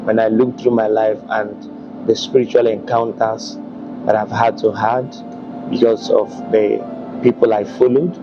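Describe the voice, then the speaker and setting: A man with a low, gravelly voice speaks slowly and carefully as an interview guest, often pausing to collect his thoughts. He comes from Gabon and speaks as a prophet. The sound is dull and lo-fi, with clear music playing behind him. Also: flat delivery. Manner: measured, hesitant with many ums. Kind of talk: calm interview answers.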